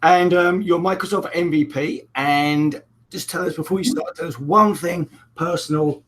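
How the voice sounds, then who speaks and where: A man hosting an online tech meetup, talking casually with a croaky voice and a joking manner. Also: a little high, moderate pace, a little hiss or hum. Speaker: host.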